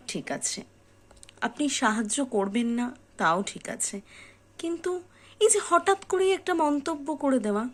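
A woman giving a motivational talk straight to the listener, earnest and emphatic, in short deliberate phrases. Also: a little high. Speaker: host of a motivational reflection channel.

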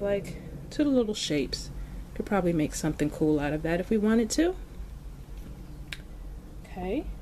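A woman hosting a kids' toy video, narrating as she plays with modeling clay in a slow, gentle, playful way with soft asides. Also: on the low side. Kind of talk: hands-on toy play narration for kids. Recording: background noise.

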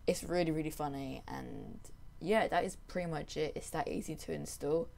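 A young adult presenter giving a casual software tutorial in a voice-over. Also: mid-range voice, normal speed, vocal fry.

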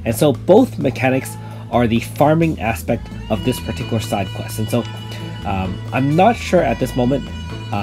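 Easygoing man with a slightly raspy voice. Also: mid-range voice. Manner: relaxed, many fillers. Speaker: gaming YouTuber. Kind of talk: casual game commentary.

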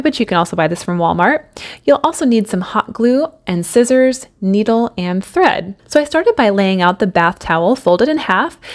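Woman narrating a DIY craft tutorial. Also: medium pitch. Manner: practical, step-by-step, with frequent fillers. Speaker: DIY home decor vlogger.

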